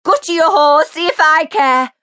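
A woman's voice: high-pitched, normal speed, no echo, clean studio sound, voice pushed up. A woman voicing an enraged woman who screams and swears at her departing partner. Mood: screaming. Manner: shouting, screeching, foul-mouthed. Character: furious woman, shrieking at her cheating partner.

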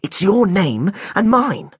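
A man's voice: high-pitched, big pitch swings, slow, breathy edge, no echo, clean studio sound, pitched above the performer's own voice, exaggerated voice. A man voicing a furious, embarrassed schoolgirl who hisses and snaps her words. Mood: angry. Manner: hissing, snappish.

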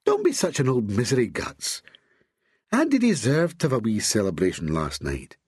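A man's voice: lowish voice, huge pitch swings, brisk, a little mellow, husky, dry recording, clean studio sound, exaggerated voice. A man voicing a teasing, seductive woman doctor with a posh Glaswegian accent and a crooning, playful manner. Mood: playful scolding.

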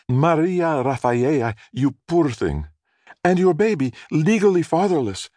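A man's voice: fairly high pitch, darkish tone, no room sound, voice pushed up. A nosy neighbor woman played by a male narrator, overwrought and dripping with dramatic pity. The voice jumps well up and swoops about in exclamations, audiobook style, recorded dry. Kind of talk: audiobook character dialogue.

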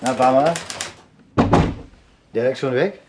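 An actor playing a jovial, flirtatious boss in a German crime radio play. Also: male voice, medium pitch, a little slow, heavy background noise, lightly acted. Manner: jovial, casual, teasing.

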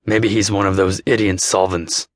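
A sneering, blunt teenage bully, as a man reading the story voices him with a lowish voice. Each line sounds mocking and cold, recorded dry and close. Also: steady tone, moderate pace, a bit bright, no room sound, natural voice.

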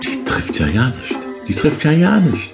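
Creaky, animated village barkeep. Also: male voice, a little high, unhurried, heavily acted. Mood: unimpressed. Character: easygoing village garage barkeep, a drinking buddy. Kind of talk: radio play acting. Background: music.

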